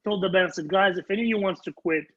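A man with a notably high, rough-edged husky voice, a native Arabic speaker. He tells anecdotes from his singing career with emphasis, repeating phrases for effect and putting himself down. The sound is somewhat muffled and lo-fi. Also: fast. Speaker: band leader and singer recounting his career. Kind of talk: animated anecdotal storytelling in conversation.

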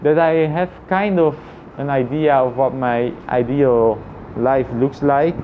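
A man thinking aloud in a casual, reflective personal vlog, with halting phrasing and frequent repetition. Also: mid-range voice, steady tone, phone-quality sound, slight background noise. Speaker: vlogger.

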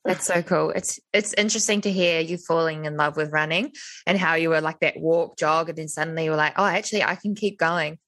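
A woman hosts an interview with an upbeat, encouraging manner. Her voice sits low in pitch. The sound is dry and close, with no room echo. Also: steady tone, brisk, a bit bright. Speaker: interview host. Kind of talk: casual interview chat.

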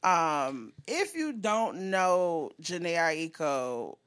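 A woman's voice: fairly low pitch, very animated, very slow, fairly bright tone, clear, resonant tone. A woman co-hosting a podcast, chatting casually and animatedly about music, swearing freely and breaking into song. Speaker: podcast co-host.

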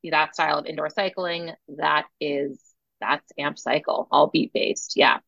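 A woman's voice: low-pitched, steady tone, unhurried, creaky, a bit bright, dry recording. A young woman co-hosting a podcast, chatting casually with a scratchy voice worn from teaching, laughing often and saying "like" a lot. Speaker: podcast co-host, spin instructor. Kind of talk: casual chat. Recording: dull lo-fi sound.

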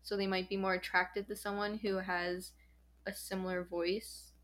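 A woman's voice: medium pitch, level tone, moderate pace, a bit bright. A young woman hosting a podcast, casually explaining a psychology topic with frequent ums and likes. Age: young adult. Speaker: podcast host.

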